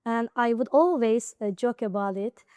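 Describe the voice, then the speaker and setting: A woman's voice: on the high side, moderate pace, a little mellow, clear, resonant tone, dry recording, clean studio sound. A young woman from Azerbaijan with a Nakhchivan accent in English, an interview guest giving earnest study advice with frequent fillers and restarts. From Azerbaijan, Nakhchivan region. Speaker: interview guest, IELTS high scorer. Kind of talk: casual interview conversation.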